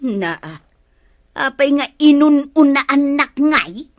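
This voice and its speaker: Nagging, gossipy village wife, high lively voice, Ilocano accent, radio comedy. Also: female voice, slow pace. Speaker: radio drama actor.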